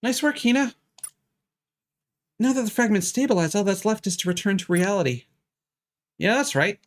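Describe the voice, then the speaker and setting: Man voicing an earnest, high-pitched anime schoolgirl. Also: lively intonation, normal speed. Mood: focused, brisk. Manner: earnest, reading out game dialogue in character. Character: earnest teenage anime schoolgirls in a fantasy game.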